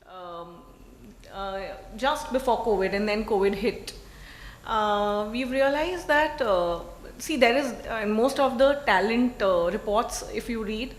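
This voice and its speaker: A woman's voice: a little high, echoey room. A woman, speaking as a retail executive on a panel, earnest and explanatory with frequent fillers. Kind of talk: panel discussion.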